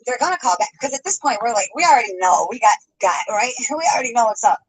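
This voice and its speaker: A woman's voice: fairly high pitch, expressive, fast, husky, poor audio. A woman telling a rambling story, hedging often and breaking into little laughs. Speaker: storytime YouTuber.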